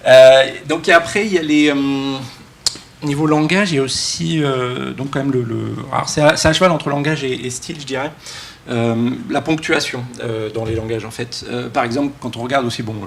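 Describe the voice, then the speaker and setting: A man speaks informally as a conference keynote speaker, joking and halting with many false starts. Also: medium pitch, fairly bright tone. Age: early 40s. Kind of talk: casual, joking conference talk. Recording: live talk with background room noise.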